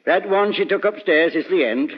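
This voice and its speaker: Chatty old butler, highish voice. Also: male voice, animated, moderate pace, slightly rough. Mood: fond, chatty. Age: older. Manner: folksy, familiar, chuckling. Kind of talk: old-time radio mystery acting. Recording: dull, muffled old radio recording.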